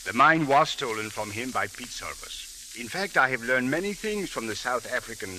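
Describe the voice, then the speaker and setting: Formal police captain, hissy recording. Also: male voice, medium pitch, expressive, sharp tone. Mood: calm, explaining. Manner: formal, measured, precise wording. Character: courteous, dignified male Cairo police captain. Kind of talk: 1940s-50s radio drama acting. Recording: hissy, crackly old radio recording.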